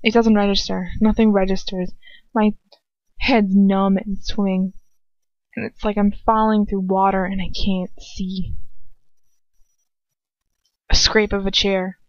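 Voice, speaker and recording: A woman's voice: medium pitch, flat delivery, unhurried, pauses often, dry recording, highs cut off. A woman narrating a first-person story as an amateur audiobook reader, now and then stumbling and retaking a line.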